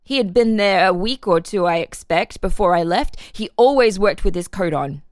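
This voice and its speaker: A woman's voice: mid-range voice, animated, normal speed, dry recording, studio quality, lightly acted. A woman voicing an earnest ten-year-old farm boy giving simple, plain-spoken testimony in a dramatic reading. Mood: earnest, insistent. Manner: simple, plain-spoken, answering questions literally.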